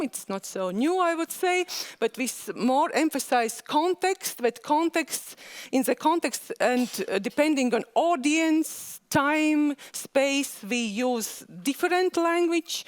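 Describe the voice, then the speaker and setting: High-voiced woman. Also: clean tone. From Latvia. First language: Latvian. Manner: halting non-native phrasing, frequent "uh" pauses. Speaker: conference speaker. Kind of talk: academic conference presentation.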